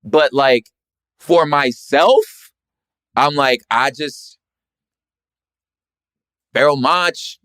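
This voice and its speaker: A man's voice: on the high side, very slow, long pauses, a bit bright, clear, resonant tone, dry recording, studio quality. An American man chatting casually about music in an emphatic, slangy way, often repeating his phrases. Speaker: rapper and poet, guest.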